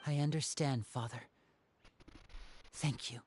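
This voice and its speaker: A man's voice: mid-range voice, fairly even intonation, slow pace, a little mellow, a little hiss or hum, close to an everyday voice. A man playing an earnest, loyal young man in a video game, polite and careful, sincere and given to self-doubt. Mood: resolute. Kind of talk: dramatic video game dialogue.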